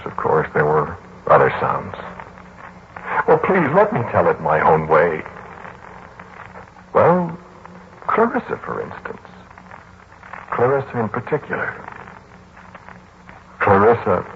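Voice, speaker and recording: An actor playing a pensive, polite student lodger in a 1940s radio drama, speaking in a measured, brooding way. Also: male voice, low-pitched, a little hiss or hum. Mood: brooding, hesitant. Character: pensive male student lodger recounting an eerie memory. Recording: tinny, band-limited 1940s radio recording with music.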